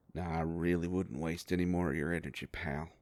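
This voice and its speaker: A man playing a gruff, protective werewolf private investigator in a dramatic audio roleplay, with a gravelly voice and a slow, menacing, sardonic, folksy tough-guy delivery. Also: low-pitched, noisy background.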